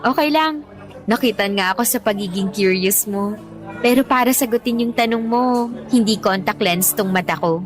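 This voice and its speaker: A woman's voice: mid-range voice, moderate pace, faint music behind, close to an everyday voice. A woman with a Filipino accent playing a friendly, forthright young woman on a first date in a radio drama, casual and playful. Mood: playful, flattered.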